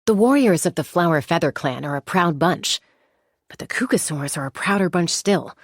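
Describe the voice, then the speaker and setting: An actress playing a composed, confident young warrior woman in a video game, speaking in a measured, articulate and reflective way. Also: fairly low pitch, animated, slightly rough, dry recording, studio quality. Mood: firm, earnest. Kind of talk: scripted game character monologue.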